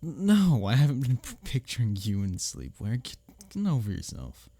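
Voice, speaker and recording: A man acting an arrogant, teasing office rival who is secretly smitten, in an intimate audio roleplay, often breathy and near a whisper. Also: medium pitch, wildly swooping pitch, warm tone, dry recording, full character voice. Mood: flustered, defensive. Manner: smirking, teasing, halting when flustered. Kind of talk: intimate ASMR audio roleplay addressed to the listener.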